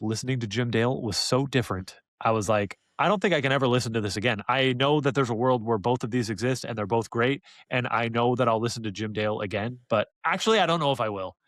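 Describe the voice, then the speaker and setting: A man's voice: medium pitch, fairly bright tone, dry recording. Man chatting on a dry-sounding podcast. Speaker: podcast co-host. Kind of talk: casual review discussion.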